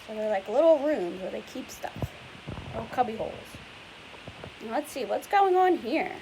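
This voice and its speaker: A woman with a fairly high voice reads a children's picture book aloud like a teacher. She explains patiently in simple words and asks the listener questions. There is some hiss behind her. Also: very animated, normal speed, warm tone, low-quality recording. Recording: clear background hiss and noise.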